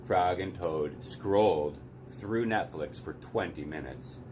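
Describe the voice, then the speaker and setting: A man's voice: sits low, slow pace, noisy background. A man narrating a story aloud expressively as a read-aloud host.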